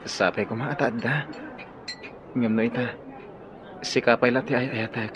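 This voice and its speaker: A man's voice: medium pitch, fairly even intonation, a little slow, natural voice. An actor with an Ilocano accent playing an earnest, lovelorn young man in a radio drama. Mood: earnest, wistful. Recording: dull lo-fi audio, clear background noise.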